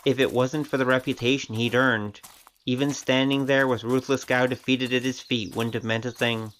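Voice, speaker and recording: A man's voice: mid-range voice, little pitch movement, normal speed, fairly bright tone, slight background noise, natural voice, rough recording. A man playing a loyal, wry gambler and wandering fighter in an audio drama, casual but sincere. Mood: wry, reflective.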